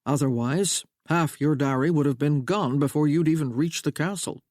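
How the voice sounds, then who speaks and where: A big-voiced older American father with a booming drawl, as a male narrator puts him on. The voice sits a little high and very dry, close to the mic. He talks proud and protective. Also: normal speed, darkish tone, no room sound, natural voice. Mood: fatherly.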